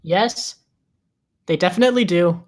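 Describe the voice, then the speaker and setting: Man acting a nervous guy, halting speech, stumbling bluffs, film script table read. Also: high voice. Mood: fumbling, improvising.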